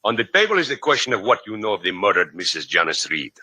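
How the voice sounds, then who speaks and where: Courtly, sharp-voiced inspector. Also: male voice, lowish voice, sing-song, fast talker, husky, no room sound, heavily acted. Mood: formal, sardonic. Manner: florid, formal, mock-polite. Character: courtly, sardonic male Cuban police inspector. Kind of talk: 1950s radio drama acting.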